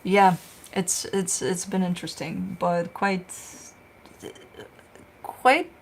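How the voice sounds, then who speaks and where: A woman's voice: on the low side, flat delivery, takes it slowly, gravelly, a little hiss or hum. A woman, a tarot reader chatting casually on a livestream, telling stories and answering viewer questions. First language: Romanian.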